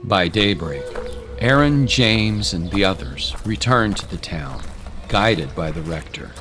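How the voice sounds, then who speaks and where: A man narrating a scripted dramatic story in a solemn, deliberate way. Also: deep voice, animated. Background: clear music.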